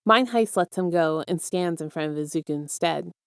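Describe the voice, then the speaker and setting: A woman's voice: lowish voice, moderate pace, gravelly, clean tone, dry recording, clean studio sound. A woman reading scripted fiction aloud as the narrator of an audio story. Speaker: podfic narrator.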